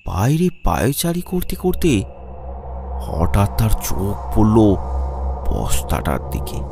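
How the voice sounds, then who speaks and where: A man narrating a suspenseful animated horror story in a dramatic storytelling way. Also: lowish voice, slow, creaky, background noise. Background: background music.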